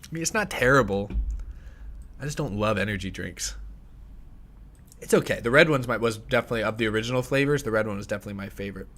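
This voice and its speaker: A young man in his twenties hosting a casual livestream, thinking aloud and correcting himself, a bit hoarse, on a close studio mic in a small room. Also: fairly low pitch, fast talker, long pauses, fairly bright tone. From United States. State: slightly hoarse, tickle in throat. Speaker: livestream host. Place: small home streaming room. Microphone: headset and studio mic on arm.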